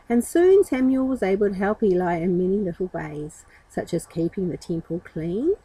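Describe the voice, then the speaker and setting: A woman's voice: mid-range voice, mellow, husky, no room sound, low-quality recording. A woman storyteller reading a children's story expressively, with informal asides.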